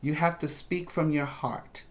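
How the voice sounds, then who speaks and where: A preschool teacher reads and explains a story for small children. The pace is slow and deliberate, with simple phrasing and repeated words for emphasis, in a mid-range voice. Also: medium pitch, fairly even intonation. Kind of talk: storybook read-aloud with explanations for young children.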